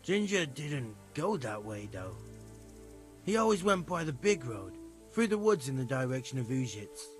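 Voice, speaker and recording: An actor playing a hesitant, loyal, earthy medieval stable worker in a video game, folksy and earnest. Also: male voice, medium pitch, very animated, moderate pace, faint music behind, lightly acted. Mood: helpful. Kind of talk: conversational video game cutscene acting.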